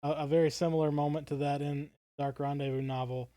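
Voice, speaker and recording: A man's voice: on the high side, level tone, fast talker, dark tone, no echo, clean studio sound. A man co-hosting a podcast in casual fan discussion, feeling his way toward words as he talks. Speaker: podcast co-host.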